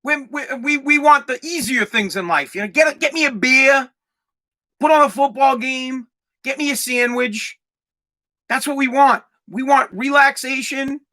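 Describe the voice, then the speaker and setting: A man in his forties hosting a comedic solo podcast, ranting in a profane, rambling way with lots of stammered restarts and bursts of shouting. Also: very high voice, moderate pace. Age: 40s. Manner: exaggerated, swings into loud shouting. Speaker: podcast host.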